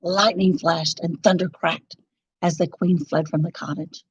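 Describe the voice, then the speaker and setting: A woman narrating a children's storybook in an expressive, dramatic and deliberate style. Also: fairly low pitch, breathy edge, no echo, lo-fi sound.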